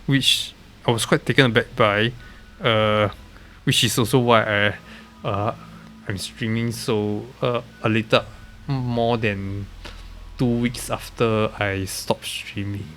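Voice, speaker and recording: A man with a nasal, congested voice from a recent illness, giving halting, casual commentary on a game live stream. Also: lowish voice, steady tone, fairly bright tone, slight background noise. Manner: halting, hesitant, often trailing off. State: congested, recovering from being sick.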